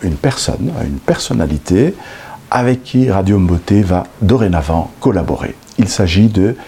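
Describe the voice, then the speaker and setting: A man's voice: sits low, lively intonation, moderate pace, warm tone, slightly rough. A man hosting a radio interview, announcing the guest and reading through a list of dates. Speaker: radio host.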